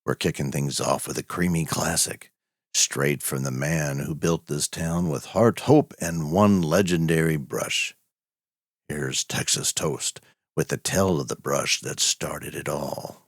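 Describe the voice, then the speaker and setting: A man voicing a smooth-talking male radio host of a small cow town, with a soft, velvety croon. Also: very deep voice, a little slow, dry recording, clean studio sound, voice pushed down, heavily acted. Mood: mellow.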